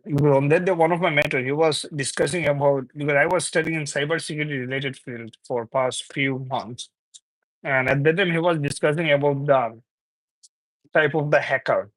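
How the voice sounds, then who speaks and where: Young male streamer, slightly high voice, from Bangladesh; loose, chuckling banter with chat, dry close sound. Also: moderate pace, pauses often, fairly bright tone, dry recording, poor audio. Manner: chuckles often, reads viewer comments aloud; loose, repetitive, teasing banter. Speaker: livestream host. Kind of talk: casual live chat with viewers.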